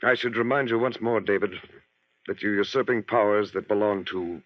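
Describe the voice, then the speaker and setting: An actor playing a reluctant, uneasy surgeon friend, hesitant and solemn, in a 1940s horror radio play. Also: male voice, lowish voice, moderate pace, no room sound, natural voice. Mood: solemn, worried. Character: uneasy, God-fearing male surgeon, loyal reluctant friend. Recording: dull vintage 1940s radio recording.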